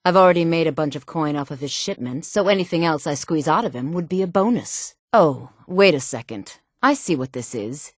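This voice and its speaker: A woman's voice: low-pitched, no room sound, studio quality. An actress playing a tough, brash female thieves guild racketeer in a fantasy video game, sultry and streetwise, sly and dismissive. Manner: streetwise, casual, cocky.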